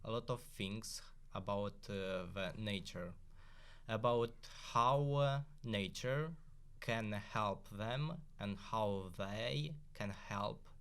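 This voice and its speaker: A man in a student podcast, reading a prepared segment in halting, non-native English with frequent filler pauses. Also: on the low side, clear, resonant tone.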